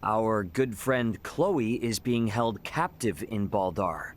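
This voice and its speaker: A man's voice: fairly low pitch, slow. An actor playing an earnest young male adventurer in a video game, plain-spoken and casual. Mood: determined.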